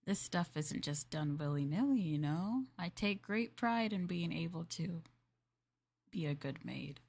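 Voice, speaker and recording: A woman's voice: fairly low pitch, animated, a little slow, vocal fry, a little mellow, breathy edge. A woman streamer playing a maid in a roleplay, chatting with viewers in a playful, teasing and politely formal way.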